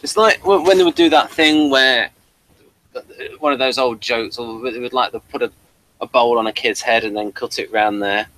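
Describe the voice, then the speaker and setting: A man's voice: mid-range voice, normal speed, fairly bright tone, low-quality recording. A man hosting a casual review chat, explaining things in a rambling way.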